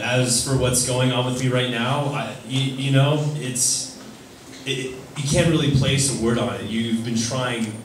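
A man's voice: mid-range voice, background noise. Young man answering in an echoey hall. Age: young adult. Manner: earnest, searching for words. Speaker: athlete on a press panel. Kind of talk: answering questions at a press conference. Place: large echoey indoor hall. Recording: echoey hall recorded from far off.

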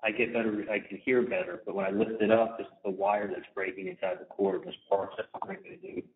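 A man chatting casually on a phone call in a loose, halting way. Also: on the low side, steady tone, slight background noise. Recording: tinny, radio-like jail phone line.

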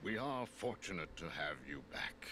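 An actor playing a solemn elderly sorcerer mentor in a game, speaking formally and in a stately, measured way. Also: male voice, low voice, lively intonation, pushed and bright, slight background noise. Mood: solemn, grateful. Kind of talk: dramatic game cutscene acting.

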